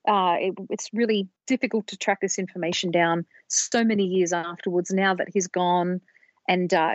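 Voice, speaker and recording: A woman co-hosting a podcast, explaining research in a measured storytelling way on a slightly dull, lo-fi recording. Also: fairly low pitch, no echo. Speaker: podcast co-host presenting research.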